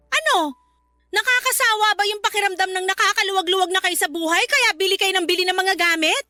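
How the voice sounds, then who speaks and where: A woman's voice: very high voice, pushed and bright, no echo. A woman playing an exasperated, worried grown daughter, pleading and reproachful in an emotional radio drama.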